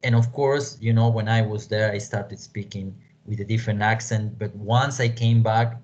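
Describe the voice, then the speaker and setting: A man with a Mexican accent, a native Spanish speaker, explaining to an online class as a lecturer in a halting way that repeats words and phrases. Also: lowish voice, steady tone, a little mellow, a little hiss or hum. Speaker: English teaching lecturer. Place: small room at home, indoors. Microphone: computer microphone on video call. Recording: dull lo-fi video call audio.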